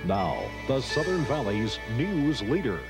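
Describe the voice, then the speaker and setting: A man's voice: mid-range voice, big pitch swings, unhurried. An American man announcing the opening of a television newscast. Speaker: station announcer. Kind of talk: TV news intro announcement. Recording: loud theme music under voice.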